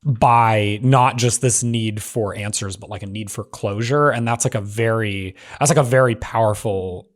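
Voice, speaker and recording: Casual, emphatic man with halting phrasing. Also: fairly low pitch. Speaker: panelist in a script discussion. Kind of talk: casual discussion.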